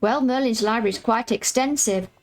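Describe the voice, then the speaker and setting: A woman reading the lines of a prim, polite young girl in a video game, with a croaky voice and formal phrasing. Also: fairly high pitch, a little fast, natural voice.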